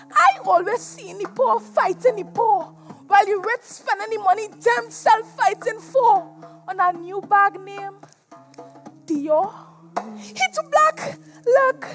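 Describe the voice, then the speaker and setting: A woman's voice: very high voice, heavily acted. A Trinidadian woman playing an embittered former slave turned restless spirit, delivering a rhyming dramatic monologue on stage in a theatrical, anguished manner. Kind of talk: dramatic spoken-word stage monologue in rhyme. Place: stage at a competition in a performance hall. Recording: live stage performance with music.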